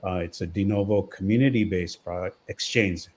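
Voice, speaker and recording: Very low-voiced man on a live stream. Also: normal speed. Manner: loose, rambling with fillers. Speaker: project team member.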